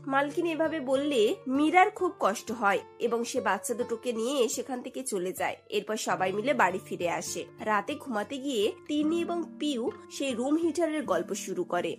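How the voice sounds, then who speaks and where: A woman tells a cartoon story as its narrator. Her voice is high, and the delivery is scripted storytelling over faint music. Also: animated, normal speed.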